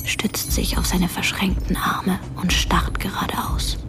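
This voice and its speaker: An actress playing a hushed, eerie narrator in a radio drama, half-whispering in a measured, intimate way. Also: female voice, fairly low pitch, huge pitch swings, exaggerated voice. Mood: eerie, quiet. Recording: radio drama with music underneath.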